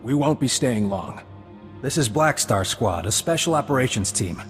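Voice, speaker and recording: Lowish male voice, actor as dry intelligence commander, authoritative, composed, game dialogue, hall reverb, faint music. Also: expressive, moderate pace, lightly acted. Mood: matter-of-fact. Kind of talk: video game voice acting.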